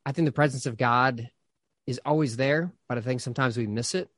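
A man's voice: medium pitch, fairly quick, fairly bright tone, no room sound. Casting: man, 30s, pastor, loose and chatty, dry close mic. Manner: chatty, rambling with many fillers and restarts. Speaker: pastor and author. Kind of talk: casual interview conversation.

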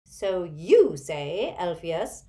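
A woman's voice: fairly low pitch, sing-song, extremely slow, dark tone, exaggerated voice. A woman voicing a haughty, spiteful, tipsy old witch aunt in a storybook, cackling and screechy, with an emphatic gossipy manner. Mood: haughty, relishing.

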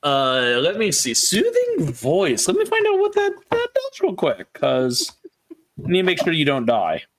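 Unhurried, animated male game master. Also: fairly high pitch. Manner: halting, self-correcting delivery. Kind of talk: improvised tabletop game narration, casual.

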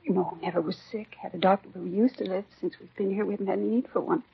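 An actress playing a shaken, devoted American housewife just widowed, speaking politely in short sentences in a 1950s radio drama. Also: female voice, medium pitch, steady tone, rapid-fire. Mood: fragile, composed. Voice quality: husky. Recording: dull 1950s radio recording.

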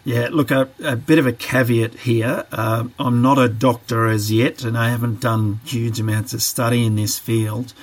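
A man answering interview questions on a podcast, reflective and careful, often pausing with fillers as he works through his ideas. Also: medium pitch, flat delivery. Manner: reflective, hesitant, many fillers and restarts. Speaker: podcast guest, training manager.

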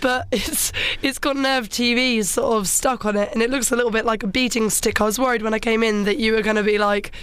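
A woman presenter chatting on the radio in a husky, hoarse voice from having lost her voice, giggling and self-mocking. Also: fairly high pitch, steady tone, fairly quick, few pauses, fairly bright tone, dry recording.

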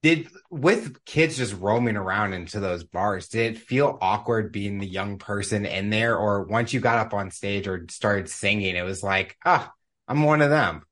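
A man's voice: fairly low pitch, normal speed, fairly bright tone. Upbeat male podcast host. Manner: upbeat, eager questioning. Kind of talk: interview hosting.

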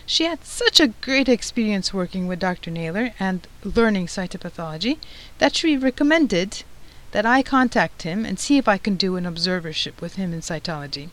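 A middle-aged woman from Turkey hosting a professional society's podcast, reading a prepared script in a measured, formal way. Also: mid-range voice, expressive, a bit bright, poor audio. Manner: measured, formal, read-aloud delivery. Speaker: podcast host, society president.

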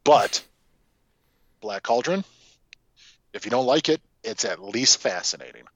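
A man's voice: medium pitch, very animated, measured pace, a bit bright, no room sound, muffled recording. A man co-hosting a casual movie podcast, talking in a wry, informal way. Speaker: podcast co-host.

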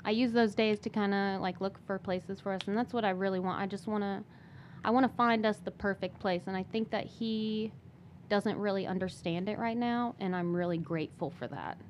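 A woman's voice: mid-range voice, fairly quick, slight background noise. A young woman speaking casually and personally as a guest in an interview.

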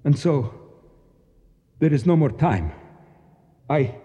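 An actor playing a proud, bitter Egyptian nationalist official in a radio drama, speaking formally and precisely with declamatory flourishes. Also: male voice, a little high, lively intonation, takes it slowly, dark tone, breathy edge, exaggerated voice. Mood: stern, resolute. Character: proud, embittered, fanatical Egyptian nationalist official, courteous yet grim. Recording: dull sound with long echoing reverb.

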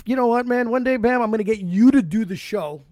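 A man in his forties hosts a podcast with a high voice, talking in a loose, slangy way. The sound is dry and close. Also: fast talker, a little mellow, no echo. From Canada. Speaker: podcast host. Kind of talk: casual podcast chat.

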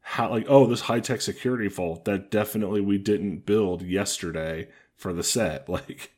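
A man co-hosting a podcast in casual comedic chat, dry and joking, with a deep voice. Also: fairly even intonation, moderate pace, darkish tone, breathy edge. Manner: dry, joking, riffs on bad movies. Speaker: podcast co-host.

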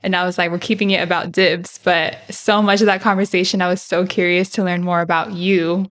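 A woman's voice: fairly low pitch, level tone, a little fast, fairly bright tone. A woman hosting an interview podcast, effusive and chatty, dropping Spanish phrases into her English. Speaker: podcast host. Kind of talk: warm enthusiastic interview chat.

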